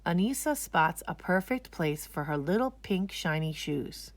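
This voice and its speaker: A woman's voice: on the low side, expressive, a little slow, no echo. A woman narrating a children's storybook as a read-aloud.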